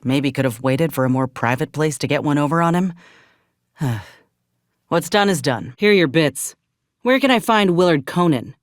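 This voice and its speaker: Grim soldier outcast, studio-dry. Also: female voice, low-pitched, animated, normal speed, no echo. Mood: rueful, curt. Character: grim, resolute outcast woman soldier, drawling and principled. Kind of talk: video game character dialogue.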